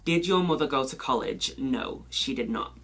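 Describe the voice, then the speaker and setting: A young man with a Mancunian accent chatting casually as a beauty vlogger, rambling through questions with lots of "like" and sudden high exclamations. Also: expressive, moderate pace, fairly bright tone. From England. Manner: chatty, rambling, full of "like" and "you know"; pitch leaps up sharply when exclaiming. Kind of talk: casual chat answering a question tag.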